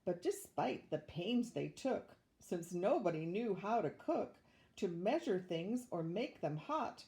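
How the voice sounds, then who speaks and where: An older woman reading a rhyming children's story aloud in an expressive, measured way. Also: medium pitch. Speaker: storybook reader, retired teacher.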